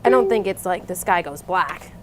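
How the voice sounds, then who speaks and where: A woman's voice: lowish voice, expressive, normal speed. A woman co-hosting a craft show, demonstrating steps casually and chatting around a table indoors. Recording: noisy room with table sounds.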